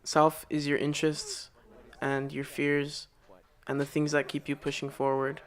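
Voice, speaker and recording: A man speaks in a somewhat high voice with little variation. He makes brief, reflective statements. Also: completely flat delivery, a little slow.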